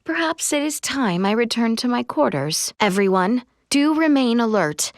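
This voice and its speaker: A woman voicing an earnest, dutiful young royal heroine in a video game, speaking in formal, archaic, measured phrases. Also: a little high, expressive, no room sound, studio quality. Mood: solemn, resolute. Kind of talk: video game character voice acting.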